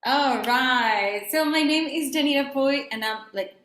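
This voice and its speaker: A Spanish-speaking woman hosting a video-call webinar who talks warmly and earnestly, with small restarts and filler words. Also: sits high, a little slow, roomy sound. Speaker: webinar host introducing the speaker. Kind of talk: casual welcome and introduction on a video call.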